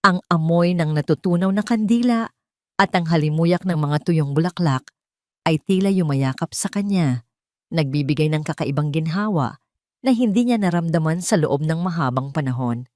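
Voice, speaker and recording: A woman's voice: low-pitched, moderate pace, darkish tone, no echo, studio quality. A woman narrating a scripted dramatic story.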